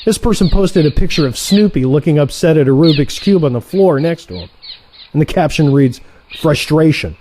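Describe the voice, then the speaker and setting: Casting: male actor for brash younger assassin, audio drama, soft-edged timbre, very animated intonation, needling and sarcastic. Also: fairly high pitch, moderate pace, a little hiss or hum, lightly acted. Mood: needling, eager. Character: excitable, cocky younger assassin, male trainee turned rival. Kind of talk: audio play dialogue.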